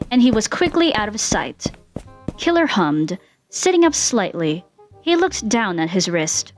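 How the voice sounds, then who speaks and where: A woman reading fan fiction as an expressive scripted story narrator. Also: mid-range voice.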